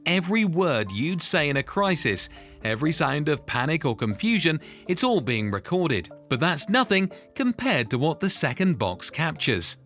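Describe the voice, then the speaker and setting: A man delivers scripted explainer narration with dramatic emphasis and a lively, swinging intonation. His voice sits fairly high, and he builds suspense as he goes, with music underneath.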